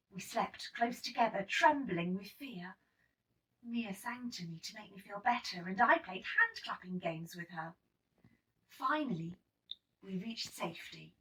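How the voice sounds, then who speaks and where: A woman reading a children's picture book aloud in an expressive, dramatic storytelling manner. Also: mid-range voice, measured pace. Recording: slightly distant microphone.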